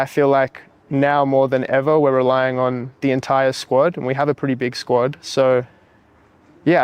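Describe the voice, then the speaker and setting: A man, a futsal player, answering interview questions in a measured way with many pauses and ums. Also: medium pitch, clean tone.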